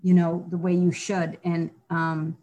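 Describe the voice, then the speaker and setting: Earnest low-voiced middle-aged woman. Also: completely flat delivery, measured pace, dark tone, muffled recording. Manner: earnest, reflective, with frequent restarts and "you know". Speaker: guest. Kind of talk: candid personal interview.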